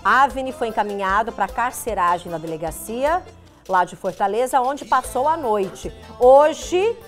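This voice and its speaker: A middle-aged woman co-hosting a TV celebrity gossip segment, chatty and teasing, quick to react. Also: on the high side, lively intonation, soft background music. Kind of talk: lively celebrity gossip chat. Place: TV studio.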